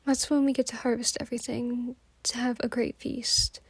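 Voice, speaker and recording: Wistful, plain-spoken farm girl, acted by a woman, higher voice, recorded dry, for an oral-history monologue. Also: little pitch movement, normal speed, vocal fry, husky, no echo. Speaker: student performer.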